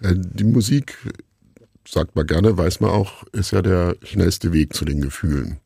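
A man's voice: low voice, a little slow, vocal fry, mellow, slightly rough, no room sound, studio quality. A man being interviewed as a radio play maker, thinking aloud in a reflective, discursive way.

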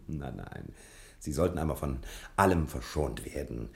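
Pompous, wildly animated private detective. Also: male voice, extremely low pitch, unhurried, gravelly, full character voice. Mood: teasing, smug. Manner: pompous, theatrical, chuckling, talks of himself in third person. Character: self-important American male private detective, boastful and slyly condescending. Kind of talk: mid-century German radio drama acting.

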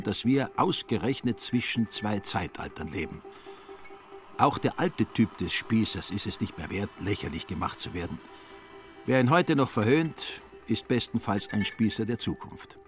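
A man narrating a literary radio play in a wry, deliberate manner with theatrical emphasis. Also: mid-range voice, expressive, faint music behind.

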